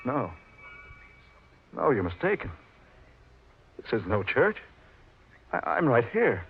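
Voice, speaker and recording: An actor playing a bewildered, frightened man newly dead and in denial, halting and repeating himself, in old-time radio horror. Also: mid-range voice, big pitch swings, slightly rough, phone-quality sound, exaggerated voice. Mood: fearful disbelief.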